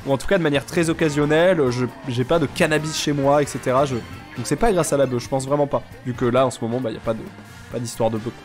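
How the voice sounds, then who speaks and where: A man's voice: mid-range voice, fast, faint music behind. Congested young man rambling on stream. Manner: rambling, deadpan joking, chatting with viewers. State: congested, sick with sinusitis. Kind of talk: casual live-stream chat while gaming.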